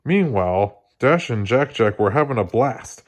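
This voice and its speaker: A man narrating a children's story aloud in an expressive read-along style. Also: mid-range voice, normal speed. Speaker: storybook reader.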